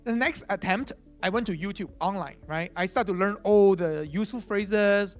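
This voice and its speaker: A man's voice: sits high, normal speed, soft background music. A man whose first language is Chinese, giving a conference talk as chief product officer, speaking conversationally with many "uh" fillers and "right?" tags.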